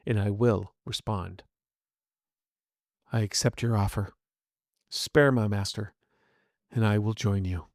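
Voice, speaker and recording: A man's voice: lowish voice, darkish tone, dry recording, clean studio sound. A man playing a loyal, disciplined young fighter in a first-person story, speaking tersely and solemnly.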